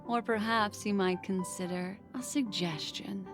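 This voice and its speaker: A woman's voice: medium pitch, steady tone, unhurried, over music, natural voice. An actress playing an elegant, enigmatic, teasing woman in a video game, with coy, theatrical delivery. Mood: coy, playful. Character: enigmatic, teasing, elegant female Memo Keeper.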